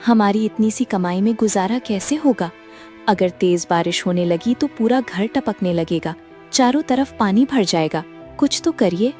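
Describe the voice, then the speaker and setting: A woman's voice: mid-range voice, measured pace, darkish tone, soft background music, close to an everyday voice. A woman voicing a worried, pleading poor village wife in a cartoon story. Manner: pleading, anxious.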